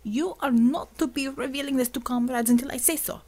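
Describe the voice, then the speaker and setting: A woman's voice: sits high, fairly even intonation, a little fast, warm tone, voice pushed up. A woman voicing a hot-tempered Russian female commissar for an audiobook, ranting scornfully in accented, broken English. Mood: furious.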